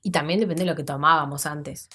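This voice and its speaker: A woman's voice: low-pitched, fairly even intonation, fairly quick, a bit bright, husky. A young woman from Argentina with an Argentine accent, co-hosting a podcast and joking through casual chat. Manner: joking, teasing. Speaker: podcast co-host.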